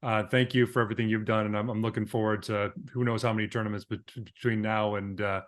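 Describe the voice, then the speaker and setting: A man's voice: on the low side, little pitch movement, fast. A man co-hosting a podcast and interviewing a guest in a casual conversational style.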